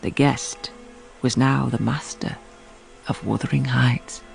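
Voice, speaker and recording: An actress playing a sensible, compassionate housekeeper who narrates a radio drama in a low, grave, measured voice. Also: female voice, steady tone, creaky, faint music behind, exaggerated voice, poor audio.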